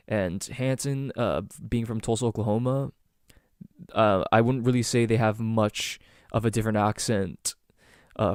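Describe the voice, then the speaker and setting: A man's voice: lowish voice, a little mellow, clear, resonant tone, dry recording, studio quality. A young man from Southern Ontario with a Toronto-area Canadian accent, hosting a casual solo podcast and rambling with many ums and restarts. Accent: Canadian, Greater Toronto Area. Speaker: podcast host.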